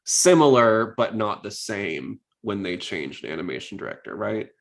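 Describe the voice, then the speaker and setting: A man's voice: lowish voice, sing-song, moderate pace, vocal fry. A man hosting a podcast in a casual, inquisitive, conversational way. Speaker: podcast host.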